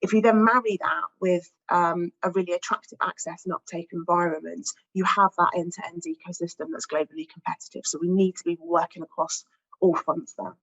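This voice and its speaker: A woman speaking on an expert panel, slightly croaky from a cold, giving a measured prepared statement with frequent ums and repeated words. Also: fairly low pitch, steady tone, a bit bright, lo-fi sound. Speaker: research director on an expert panel.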